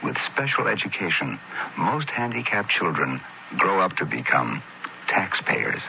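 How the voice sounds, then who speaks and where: Very deep-voiced male radio announcer. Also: big pitch swings, gravelly. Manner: measured, plain-spoken. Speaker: public service announcer. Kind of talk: scripted persuasive public service message. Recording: dull old radio, faint noise.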